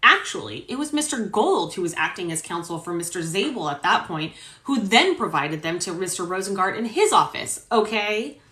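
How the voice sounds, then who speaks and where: Indignant attorney, acted by a woman, formal dramatic-reading delivery, very animated pitch, roomy sound. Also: normal speed. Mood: formal, insistent. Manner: formal, emphatic, self-righteous. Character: indignant, emphatic male defense attorney arguing in court. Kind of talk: dramatic reading of court argument. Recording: roomy echo.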